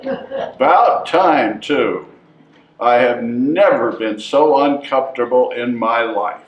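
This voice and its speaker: Man playing a slow, cocky baby boy, muffled. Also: medium pitch, lightly acted. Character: cocky, easily baffled talking baby boy. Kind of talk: readers theatre stage performance. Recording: muffled, echoey hall, distant microphone.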